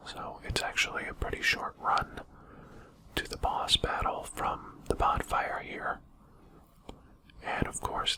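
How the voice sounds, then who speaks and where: Whispering man doing ASMR game commentary. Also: rough recording. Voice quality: breathy unvoiced whisper. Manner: quiet, unhurried, explanatory. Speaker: host of a gaming channel.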